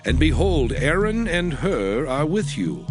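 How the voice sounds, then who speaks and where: A man plays a solemn biblical lawgiver with a medium-pitched male voice. His delivery is slow and declamatory, with expressive rises and falls, over prominent music in a dramatized scripture reading. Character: solemn biblical voice proclaiming divine law and covenant. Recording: music behind the voice. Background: background music.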